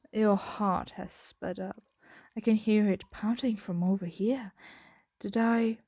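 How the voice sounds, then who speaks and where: A woman voicing a possessive, doting female dragon in an intimate ASMR roleplay, speaking softly and deliberately and often slipping into a whisper. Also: medium pitch, creaky, breathy edge, no echo, studio quality, full character voice. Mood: tender, puzzled.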